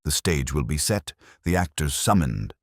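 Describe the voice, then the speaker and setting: A man voicing a heavyset older detective genius, grave, pompous and deliberate, in an audio mystery story. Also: extremely low pitch, big pitch swings, dry recording, clean studio sound, full character voice. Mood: grave, decisive.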